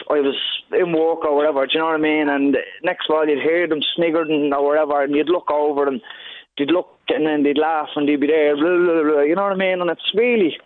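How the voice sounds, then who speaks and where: Brief: young male caller, high voice, tinny phone line, slangy. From Ireland. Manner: fast slangy talk, repeats "do you know what I mean". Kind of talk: phone-in call. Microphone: telephone line.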